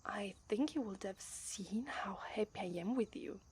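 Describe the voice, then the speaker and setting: A woman with an Italian accent voicing a shy, affectionate young demon princess bride in an ASMR roleplay. She speaks gently and softly, often drops to a whisper, and falters and restarts when she is nervous. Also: medium pitch, unhurried, breathy edge. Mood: wistful. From Italy. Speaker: voice actress.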